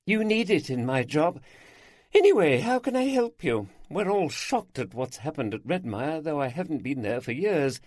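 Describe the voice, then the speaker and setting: A man's voice: fairly high pitch, very animated, normal speed, darkish tone, no room sound, studio quality, pitched above the performer's own voice. A man voicing a polite, refined woman in her mid-forties with a Home Counties accent. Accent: English Home Counties.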